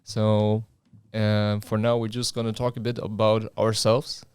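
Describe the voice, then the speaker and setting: A young man from Germany, co-hosting a casual podcast in English with relaxed, wry deadpan humor. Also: on the low side, measured pace, clean tone, no echo. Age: young adult. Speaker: podcast co-host. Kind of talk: casual chat. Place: indoor room, seated in front of camera.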